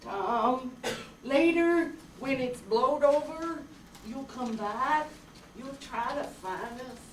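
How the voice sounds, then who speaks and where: Woman acting an older rural mother, resigned, plain-spoken, higher-pitched, radio drama, distant mic. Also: animated, slow. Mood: sad. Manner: folksy rural American dialect speech. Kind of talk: staged radio drama reading. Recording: roomy hall, distant mic, faint noise.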